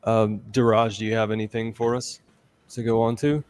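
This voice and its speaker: Informal low-voiced male stream host. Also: flat delivery, normal speed, a little mellow, clean tone. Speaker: livestream host. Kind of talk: casual chat.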